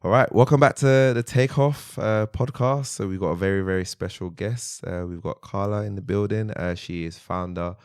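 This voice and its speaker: A man's voice: low-pitched, moderate pace, few pauses, darkish tone, dry recording, clean studio sound. A man hosting a podcast, losing his voice, reacting eagerly with frequent wows. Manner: eager listener, frequent "wow" and "mm-hmm". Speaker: podcast host. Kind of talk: casual interview chat. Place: podcast booth.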